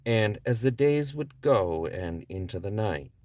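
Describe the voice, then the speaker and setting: A man's voice: on the low side, animated, a little slow, darkish tone, no echo. A man reading his own novel aloud as a scripted audiobook narration. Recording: distant microphone.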